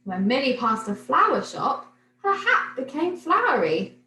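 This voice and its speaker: A woman reading a children's picture book aloud like a teacher, in an expressive, animated storytelling manner. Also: high voice, a little slow. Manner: animated, clearly paced storytelling for young children. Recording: roomy echo, noticeable background noise.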